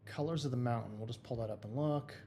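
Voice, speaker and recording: A man's voice: medium pitch, quick pace, dark tone, a little hiss or hum. Easygoing laughing man on voice chat. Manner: easygoing, laughs often, stumbles and restarts. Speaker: co-host of an online photography feedback session. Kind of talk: casual chat. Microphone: voice chat on a computer.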